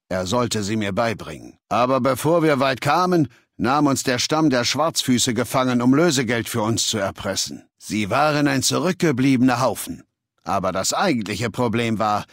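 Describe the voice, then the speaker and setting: An actor playing a cold, learned warlord dictator in a video game, speaking in an articulate, condescending, lecturing way. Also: male voice, mid-range voice, fairly bright tone, dry recording, studio quality.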